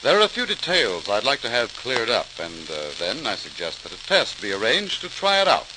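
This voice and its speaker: An actor playing a measured, questioning official in an old radio docudrama, speaking formally. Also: male voice, lowish voice, wildly swooping pitch. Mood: cautious, questioning. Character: measured, questioning male official weighing a new theory. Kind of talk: 1940s-style radio docudrama acting. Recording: noisy, hissing old-time radio recording.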